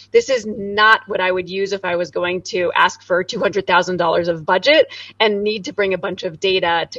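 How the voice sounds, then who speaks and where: A woman in her forties speaking in an energetic, emphatic way as an interview guest over a video call. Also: creaky, no echo, far from the mic, highs cut off. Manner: animated, emphatic, swoops high and low. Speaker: guest, corporate director. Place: home room, indoors. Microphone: studio mic on boom arm, video call.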